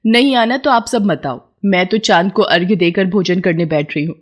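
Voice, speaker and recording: A woman's voice: lowish voice, moderate pace, close to an everyday voice. A woman voicing a devout, stubborn, tender young wife in a folktale, with an earnest, emotive delivery. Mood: eager.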